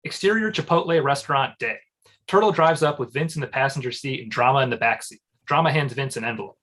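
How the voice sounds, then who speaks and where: Medium-pitched script narrator. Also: fast talker, a bit bright. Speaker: stage direction narrator. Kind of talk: scripted narration for a table read.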